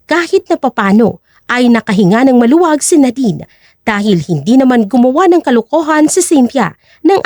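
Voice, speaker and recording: A woman's voice: sits high, a little mellow, no echo, studio quality. A woman narrating an audio drama in a dramatic scripted storytelling style.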